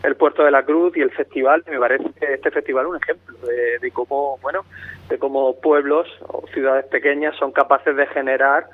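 Radio interview guest, male, mid-pitched, mixed southern Spanish accent, halting reflective delivery, narrow phone-line sound. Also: steady tone. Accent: mixed southern Spanish, between Murcian and Andalusian. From Lorca, Murcia, southeastern Spain. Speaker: travel writer, interview guest. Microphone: telephone.

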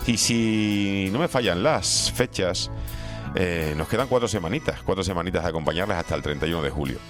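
A man's voice: deep voice, a little fast. A man hosting a radio show, chatty and friendly, speaking from a studio. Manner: friendly, chatty, laughs easily. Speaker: radio host. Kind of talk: radio interview and sign-off. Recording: radio broadcast with music underneath. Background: music playing.

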